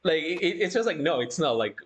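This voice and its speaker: A livestream host chatting casually and reading out the chat, halting and often breaking into giggly laughter. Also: mid-range voice, sing-song.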